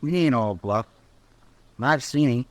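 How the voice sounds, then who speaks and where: A man acting as a plain-spoken Old West townsman in a radio drama. He has a mid-pitched voice and a rural Western American drawl. His talk is folksy and earnest. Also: lively intonation, a little slow, no echo, lightly acted. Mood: sullen.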